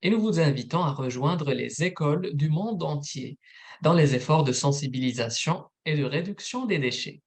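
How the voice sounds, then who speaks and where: A man, a program manager, presenting a recorded informational webinar in a steady, methodical read. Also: fairly high pitch, moderate pace.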